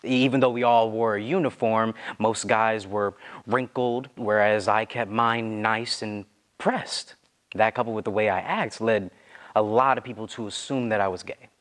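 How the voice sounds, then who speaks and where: A young man performing a personal monologue in a calm, reflective storytelling manner. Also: fairly low pitch, moderate pace. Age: young adult. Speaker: student storyteller. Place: dark indoor stage.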